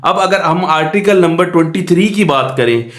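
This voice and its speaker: High-voiced Pakistani man lecturing, echoey room. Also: animated, normal speed, fairly bright tone. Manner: didactic, asks rhetorical questions and answers them; code-switches into English legal terms. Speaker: law teacher. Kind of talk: lecture explaining a statute.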